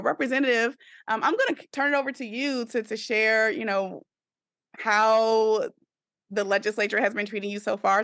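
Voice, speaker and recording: Female interviewer, conversational and wordy, halting phrasing, gravelly fry, very dry recording. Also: mid-range voice, a bit bright, no room sound. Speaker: podcast host.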